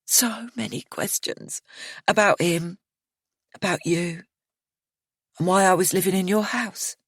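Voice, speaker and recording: A woman reading an audiobook, voicing a bitter, grieving young woman with a slow, accusing drawl. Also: lowish voice, slightly rough, dry recording, clean studio sound. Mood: hushed.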